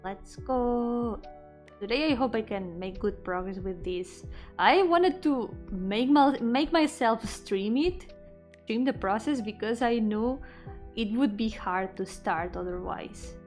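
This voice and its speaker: A woman's voice: fairly high pitch, takes it slowly, darkish tone. A woman chatting casually on an art livestream, thinking aloud in a playful, self-deprecating way. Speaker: VTuber art streamer and game developer. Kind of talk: casual livestream chat while drawing, switching between English and Spanish. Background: background music.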